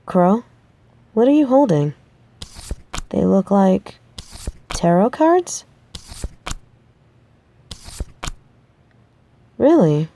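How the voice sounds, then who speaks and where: A woman's voice: mid-range voice, warm tone, no echo. A woman playing a determined, pragmatic researcher of the supernatural in an audio roleplay, speaking in a conversational, matter-of-fact way. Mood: curious. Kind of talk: audio roleplay acting, addressing the listener.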